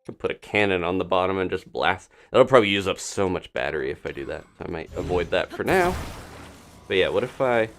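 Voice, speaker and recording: A man with a low, gravelly voice streams a video game and talks through it casually. His pitch moves around a lot as he rambles playfully and mutters to himself while working things out. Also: big pitch swings, fairly quick, fairly bright tone. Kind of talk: casual live gameplay commentary, thinking aloud.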